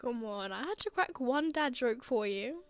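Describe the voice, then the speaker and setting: A woman playing a gentle, reassuring father who comforts a frightened child in a tender ASMR roleplay. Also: on the high side, animated, normal speed, smooth, dry recording, close to an everyday voice. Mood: playful, teasing. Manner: soothing, tender, earnest.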